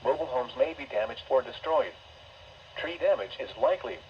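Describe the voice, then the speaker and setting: Automated male announcer, middle pitch, stilted synthetic delivery of emergency bulletins, dull weather radio sound with light static. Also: animated, brisk. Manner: stilted, synthetic, formal phrasing. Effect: synthesized voice over weather radio.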